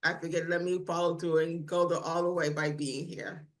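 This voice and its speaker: A woman's voice: fairly low pitch, little pitch movement, moderate pace. A woman from New England introducing herself on a call, speaking hesitantly with many fillers. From New England, USA.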